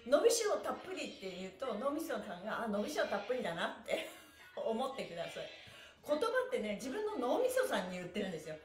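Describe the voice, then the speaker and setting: A woman's voice: a little high, big pitch swings, fast, faint music behind. A middle-aged woman leading a live stretching class in a cheerful, encouraging, playfully polite way with rhythmic chants. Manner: polite, playful honorifics for body parts. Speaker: stretching instructor. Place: small bare-walled room indoors. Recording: echoey room.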